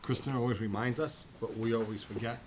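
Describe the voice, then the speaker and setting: Man with a big voice chatting at a committee meeting, loud noise underneath. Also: medium pitch, steady tone, brisk. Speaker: committee member. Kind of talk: casual meeting talk. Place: town meeting room.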